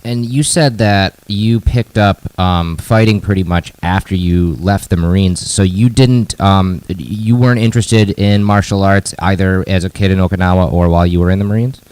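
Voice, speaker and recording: A man's voice: low voice, moderate pace, hardly any pauses, darkish tone, clean tone, dry recording. A man interviewing a guest as a podcast host in a casual, conversational style.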